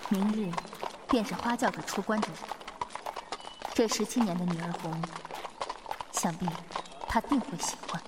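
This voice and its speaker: A woman's voice: mid-range voice, normal speed, long pauses, heavy background noise, close to an everyday voice. A woman playing a young, principled swordswoman who speaks politely, formally and earnestly in a wuxia radio drama. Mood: soft, wistful. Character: courteous, principled, bold young female swordswoman healer.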